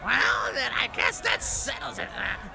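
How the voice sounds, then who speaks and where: A man voicing a brash old man who calls out to a crowd in a strained shout, in an audio drama. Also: very high voice, sing-song, a little slow, vocal fry, voice pushed up, heavily acted. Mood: brash, resigned.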